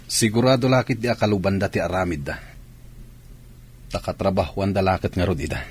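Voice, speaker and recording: A man's voice: sits low, a little fast, slightly rough. A man playing a troubled, wronged man in a radio drama, speaking solemnly and earnestly.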